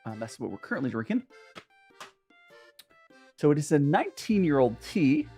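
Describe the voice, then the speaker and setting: A young man with a Southern Californian accent talks casually to his live chat, rambling and joking at his own expense. His pitch swings up and down a lot as he goes. Soft music plays faintly behind him. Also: very animated, normal speed, husky. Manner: rambling, chatty, self-mocking, many restarts and fillers. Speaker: streamer hosting a tea chat. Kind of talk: casual livestream chat with viewers.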